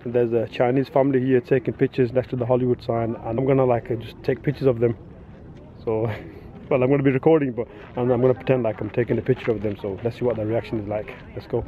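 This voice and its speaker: A man's voice: medium pitch, little pitch movement, fast talker. A man, vlogging outdoors and chatting playfully with strangers into a handheld camera. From England. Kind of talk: casual vlog chat. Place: outdoors on a hillside lookout. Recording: dull sound with loud wind noise.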